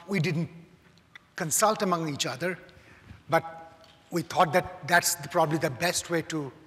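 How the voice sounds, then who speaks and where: A man introducing himself on a conference panel, halting and thoughtful with a light joke. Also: fairly high pitch, fairly bright tone. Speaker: panelist, standards body chairman. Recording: echoey conference hall.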